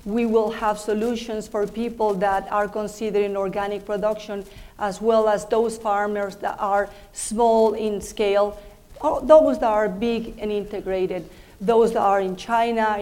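A woman speaks with a Mexican accent, delivering prepared remarks to a panel audience. Her delivery is measured and dotted with small "uh" pauses. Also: medium pitch, a little mellow. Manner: measured, with frequent "uh" fillers. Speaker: industry panelist.

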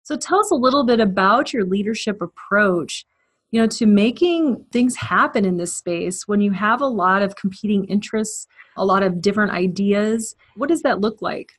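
A woman hosts a podcast and puts her questions to a guest in a conversational, encouraging way. Her voice sits at an ordinary pitch for a woman. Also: moderate pace, breathy edge, soft background music. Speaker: podcast host. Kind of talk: interview questions.

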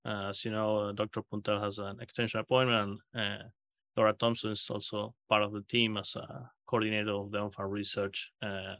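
A man from Argentina, a guest researcher, speaking haltingly with many fillers in a podcast interview. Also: fairly low pitch, little pitch movement, fairly bright tone, dry recording.

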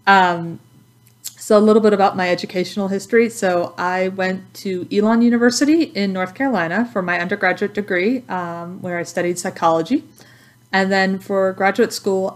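A woman from Texas with a Texan accent, an instructor giving a recorded welcome introduction in a friendly, measured way with many ums. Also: medium pitch.

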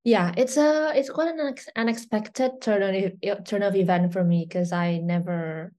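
A young woman from Indonesia, a startup founder, answering interview questions in a relaxed, hesitant way with many fillers and restarts. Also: mid-range voice, normal speed. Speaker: startup CEO guest.

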